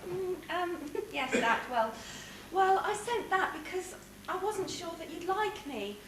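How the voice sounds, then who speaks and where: A woman's voice: very high-pitched, sing-song, measured pace, a bit bright, exaggerated voice. A woman playing an eager, bashful young housemaid heroine, speaking earnestly in a stage pantomime. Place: theatre stage. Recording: echoey hall from far off, noisy.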